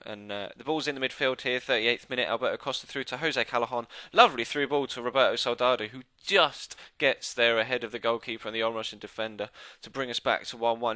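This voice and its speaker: A man narrating his own football game footage in a relaxed, chatty way, full of "uh" fillers, a little congested from a coming cold, speaking into a microphone at home. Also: mid-range voice, no room sound. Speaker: gameplay video commentator. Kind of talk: casual recorded commentary over football game footage. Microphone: new desk microphone.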